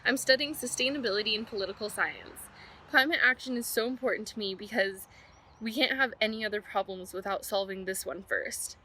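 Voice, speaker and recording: A woman's voice: mid-range voice, fairly quick, sharp tone. A young American woman, a student, giving a short prepared video statement. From United States. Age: young adult. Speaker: student speaker.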